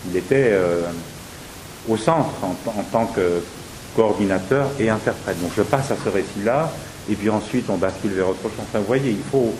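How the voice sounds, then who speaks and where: A man's voice: on the low side, normal speed, darkish tone, poor audio. A man, an actor, talking through his theatre work with many restarts and hesitations. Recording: hissy recording.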